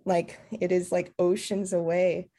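Woman from Canada, interview guest, earnest and reflective, lowish voice, lots of "like". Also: level tone, unhurried, warm tone. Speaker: theatre artist, interview guest. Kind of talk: casual interview talk.

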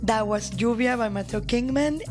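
A woman's voice: fairly high pitch, normal speed, music in the background. Playful, laughing woman co-host. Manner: playful, laughing, repeats for emphasis. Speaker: radio co-host. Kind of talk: casual radio chat. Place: radio studio.

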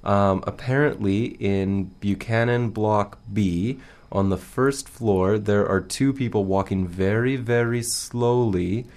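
Low-voiced guy doing jokey radio banter, kinda halting, mock serious. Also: a little mellow. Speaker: radio show co-host. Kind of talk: casual humorous radio banter.

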